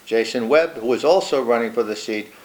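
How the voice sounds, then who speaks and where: A man hosting a local interview. He reads a measured introduction and asks questions with some fillers. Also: medium pitch, darkish tone. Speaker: interviewer and host.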